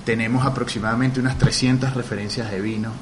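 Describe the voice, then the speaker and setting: A man's voice: medium pitch, fast talker. A man from Venezuela, a bar owner interviewed about vermouth and wine, speaking in passionate detail with many hesitations. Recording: steady background noise.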